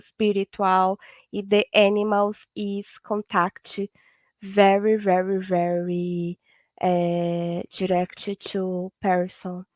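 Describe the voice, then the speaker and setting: A woman with a Brazilian Portuguese accent, a veterinarian guest speaking halting, repetitive non-native English in an informal conversation. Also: medium pitch, no room sound.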